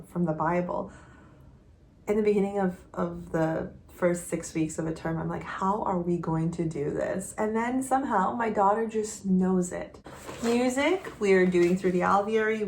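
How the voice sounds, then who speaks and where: A woman in her thirties from Quebec, a homeschooling mother giving a casual, gentle update that sometimes rambles while she searches for words. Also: lowish voice, a little hiss or hum. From Quebec, Canada.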